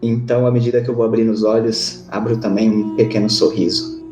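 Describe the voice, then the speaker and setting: A man's voice: on the low side, flat delivery, brisk. A man with a Brazilian accent playing a tabletop RPG, casually narrating what his character does. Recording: roomy echo, music behind. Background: music.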